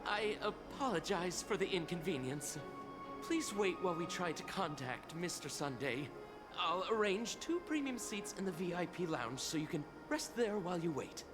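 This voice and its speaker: A man's voice: sits high, big pitch swings, moderate pace. An actor playing a polite, accommodating male hospitality worker in a video game, formal and obliging in manner. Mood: polite, apologetic. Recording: game music behind the voice.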